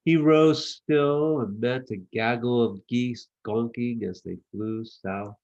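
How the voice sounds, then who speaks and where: Unhurried man reading a children's storybook. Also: medium pitch, dull audio. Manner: expressive, measured storytelling. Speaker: author reading his own children's book. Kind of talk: storybook narration.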